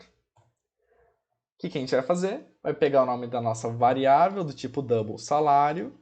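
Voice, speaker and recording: A man with a Brazilian Portuguese accent teaching programming in a casual screencast. He checks in with his viewers often and slows down to spell out commands as he types them. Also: fairly high pitch, mellow. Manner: chatty, checks in often with "ok?" and "pessoal", fond of diminutives; spells out commands slowly while typing. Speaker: programming instructor. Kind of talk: casual screencast coding tutorial.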